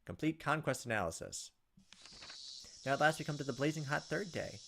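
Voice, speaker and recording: Fast-talking man narrating a visual novel. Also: fairly high pitch, lively intonation, creaky, noisy background. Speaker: gameplay host. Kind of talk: visual novel read-aloud with casual commentary. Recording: loud game music behind.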